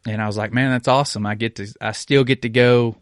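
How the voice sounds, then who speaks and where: A young man speaks as a podcast guest in a smooth voice that stays flat in pitch. He talks plainly and easily, pausing on fillers. The sound is dry and close. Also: no room sound. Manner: laid-back, plainspoken, hesitant fillers. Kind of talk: casual interview chat. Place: podcast studio.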